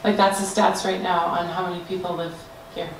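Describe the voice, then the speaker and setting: A woman's voice: fairly low pitch, level tone, fairly quick. A woman giving a student group design presentation, hesitant, with frequent fillers and restarts. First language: not Danish. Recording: echoey room, mic far off, faint noise.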